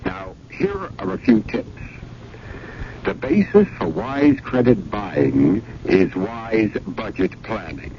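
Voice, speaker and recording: A man's voice: fairly low pitch, very animated, slow, a crowd in the background. A man reading scripted consumer advice as a public service announcer, emphatic and instructive. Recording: noisy, muffled old radio broadcast.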